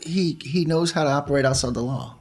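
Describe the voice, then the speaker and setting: A man's voice: on the high side, a little fast, faint music behind. A man talking casually as a player in a tabletop game discussion.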